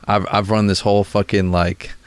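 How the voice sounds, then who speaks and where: A man's voice: lowish voice, flat delivery, normal speed, clear, resonant tone, no echo. A young man hosting a casual podcast chat, rambling with restarts and frequent swearing. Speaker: podcast host and music creator.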